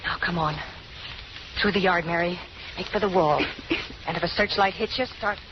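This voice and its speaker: A woman's voice: lowish voice, normal speed, natural voice. An actress playing a hard-bitten, bossy woman convict in a 1930s radio crime drama, curt and slangy. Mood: urgent. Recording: noisy, muffled old radio recording.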